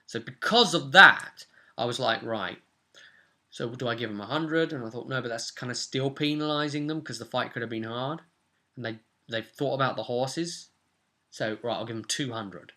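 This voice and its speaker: A man's voice: medium pitch, moderate pace, bright, forceful tone. A young man recapping a tabletop role-playing session as game master in casual chat. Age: young adult. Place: small room at home, indoors.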